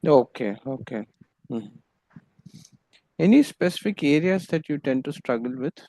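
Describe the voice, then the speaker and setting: A man from Andhra Pradesh with an Indian English accent, an English tutor speaking in a measured, formal and encouraging way on a video call. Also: on the high side, expressive, warm tone, no room sound, poor audio. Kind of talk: online tutoring session.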